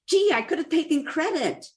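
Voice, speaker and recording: A woman's voice: sits high, expressive, a little slow, darkish tone. A woman hosting a casual interview show, asking light questions and laughing easily. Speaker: podcast host.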